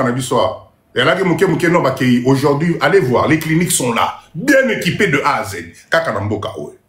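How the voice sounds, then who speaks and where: A man's voice: fairly high pitch, a bit bright, roomy sound. A man with a Congolese accent talking insistently in a live stream, full of rhetorical questions. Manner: insistent, rhetorical questions, mixing French and Lingala. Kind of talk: emphatic live-stream monologue.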